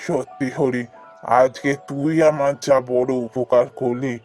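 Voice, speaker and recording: A man voicing an earnest, kindly village gentleman in a cartoon fairy tale, speaking familiarly like a patron to a junior. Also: medium pitch, level tone, a little slow, faint music behind, lightly acted. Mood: grateful.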